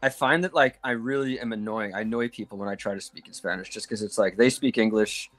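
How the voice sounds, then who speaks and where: A man chatting casually on a video call, relaxed and laughing easily. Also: fairly low pitch, fast. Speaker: guest, comics creator. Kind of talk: casual chat.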